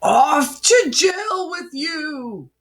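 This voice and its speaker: A woman voicing a mean, furious old man in a storybook, screeching out indignant, drawn-out demands. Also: high voice, lively intonation, pitched above the performer's own voice, exaggerated voice.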